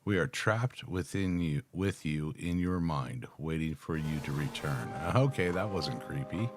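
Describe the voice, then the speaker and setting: Reaction host: American man, low register, casual read-aloud with conversational asides. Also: lively intonation, a little slow, over music. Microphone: close microphone on a boom arm, headphones on.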